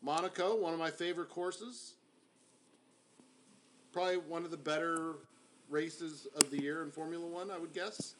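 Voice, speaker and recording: A man co-hosting a casual sports podcast, tossing out teasing jokes in quick banter. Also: on the high side, fairly even intonation, a bit bright, slight background noise, poor audio. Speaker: podcast co-host.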